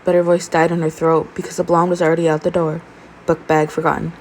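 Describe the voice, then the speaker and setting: A woman reading fan fiction aloud in an expressive amateur storytelling style. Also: deep voice, fast talker.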